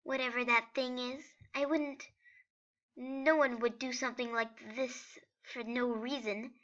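Woman voicing an earnest, excitable, high-pitched skeleton brother. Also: a little slow, dull audio. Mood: determined. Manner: dramatic, pleading, emphatic. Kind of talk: amateur comic dub acting.

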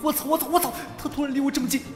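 An actor playing a brash, lovesick teenage schoolboy in an audio drama, grumbling and easily exasperated. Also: male voice, very high-pitched, fairly even intonation, very fast, soft background music. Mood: flustered inner thoughts. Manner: foul-mouthed, grumbling, swinging into outbursts. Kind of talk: modern audio drama acting.

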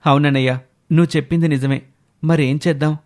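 A man's voice: a little high, steady tone, fast talker, a little mellow, close to an everyday voice. A man voicing a respectful, dutiful young man in a family story, polite and earnest, with music behind. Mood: concerned. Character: respectful young adult grandsons, earnest, dutiful, caring brothers.

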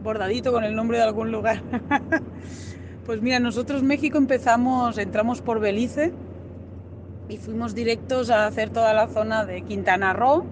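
A woman's voice: on the high side, fairly even intonation, moderate pace. A woman from Spain with a Spanish accent, a guest chatting upbeat and easygoing in a podcast interview. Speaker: guest traveler. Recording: noticeable background noise.

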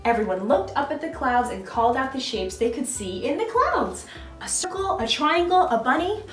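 A woman's voice: high voice, animated, moderate pace, faint music behind. A young adult woman narrating a children's storybook expressively and putting questions to small children. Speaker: costumed storytime host reading as a princess. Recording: echoey room.

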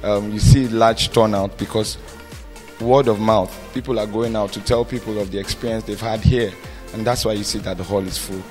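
A man's voice: fairly low pitch, steady tone, normal speed, darkish tone, faint music behind. A man giving a press interview. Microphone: handheld reporter's mic.

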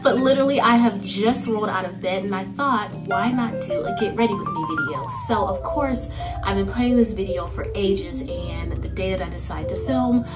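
A woman's voice: mid-range voice, moderate pace, over loud music. A woman in her thirties hosting a get-ready-with-me video in her bathroom, chatty and laughing often, sounding a little nasal from a cold. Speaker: beauty vlogger host. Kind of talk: casual get-ready-with-me chat. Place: small indoor bathroom.

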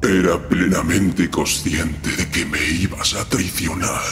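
A man's voice: deep voice, fairly even intonation, slow pace, fairly bright tone. A man with a Castilian Spanish accent voicing a bitter, defeated crime boss in an anime game dub, strained and contemptuous. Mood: bitter, seething. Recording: echoey, music behind. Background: music.

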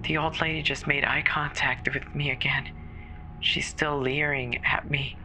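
A performer voicing a terrified woman caller alone in a snowbound house, halting and stammering with fear in a horror audio drama. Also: mid-range voice, level tone, over music, close to an everyday voice, rough recording. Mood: anxious, hurried. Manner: halting, fearful, breathy stammering. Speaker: emergency caller.

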